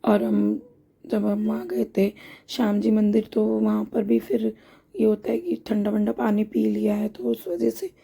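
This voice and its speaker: Woman home vlogger with a sore-throat hoarseness, chatty step-by-step voice-over, darkly toned. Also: medium pitch, measured pace, dark tone. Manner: chatty and homely, explains each step as she goes. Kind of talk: casual voice-over narration of a home routine.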